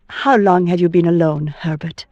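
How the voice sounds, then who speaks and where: An actress playing a sweet, coy woman admirer in a radio drama, soft, coaxing and wistful. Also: fairly low pitch, no room sound, muffled recording. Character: sweet, coy, sympathetic woman admirer flirting with a married man. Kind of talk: old-time radio drama acting.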